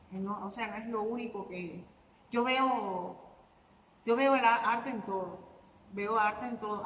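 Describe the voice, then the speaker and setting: Cuban woman artist, thoughtful and halting, recorded in a roomy hall with faint noise. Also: mid-range voice, a bit bright, lo-fi sound. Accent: Cuban Spanish. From Cuba. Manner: reflective, with hesitant fillers and restarts. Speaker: guitarist and artist interviewee. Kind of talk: conversational interview answers.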